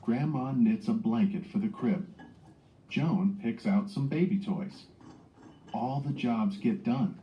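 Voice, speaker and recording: A man's voice: medium pitch, slow, a little hiss or hum. A man reading a scripted children's story aloud as a narrator. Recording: dull lo-fi playback in echoey room.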